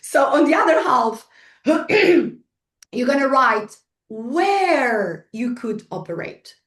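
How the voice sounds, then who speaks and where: A woman with a Portuguese accent, a Portuguese native speaker, giving a chatty motivational workshop talk to an online group, full of restarts and small laughs. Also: fairly high pitch. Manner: chatty, frequent restarts and fillers, laughs at herself. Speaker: guest speaker. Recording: echoey room, distant from the mic.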